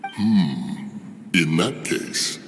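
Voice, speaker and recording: A man's voice: low-pitched, animated, drawn-out words, a little hiss or hum, heavily acted. A man voicing an ancient, dignified immortal hermit in a video game, solemn, dryly reserved and archaic in his phrasing. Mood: dry, stately. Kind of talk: video game dialogue acting. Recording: long cavernous reverb.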